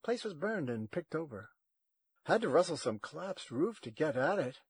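A man's voice: on the high side, big pitch swings, moderate pace, slightly rough, dry recording, full character voice. A man voicing a wary young woman scavenger in a story, casual and evasive, with hesitations.

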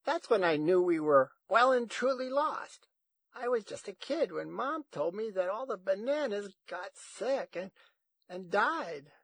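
Man voicing a high, addled old grandmother. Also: animated, a little slow, a bit bright, no echo, full character voice. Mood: plaintive. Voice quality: high-pitched, strained old-lady voice. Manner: wistful, wandering, hesitant. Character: addled, feverish old grandmother, sly and profane.

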